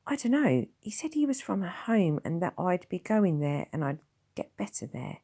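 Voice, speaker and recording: Scared skinny little boy, high pleading voice, woman doing it. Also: sing-song, normal speed, dark tone. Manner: pleading, small voice. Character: frail frightened young boy, clingy.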